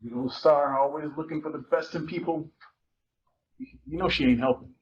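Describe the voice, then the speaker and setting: A man's voice: a little high, moderate pace, highs cut off, a little hiss or hum, lightly acted. A man performing a cool, teasing teenage boy with casual, slangy speech in a dramatic reading of a novel. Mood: guarded. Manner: slangy, casual, dropped verbs.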